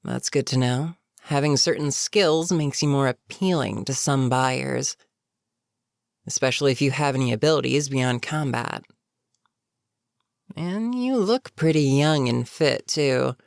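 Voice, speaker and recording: A voice actor playing a bitter, menacing goblin captain in a fantasy audio roleplay, speaking to a captive with sardonic, unhurried menace. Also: mid-range voice, creaky, no echo, studio quality. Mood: smug, matter-of-fact. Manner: sardonic, unhurried, matter-of-fact threats. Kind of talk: scripted fantasy audio roleplay, addressing the listener.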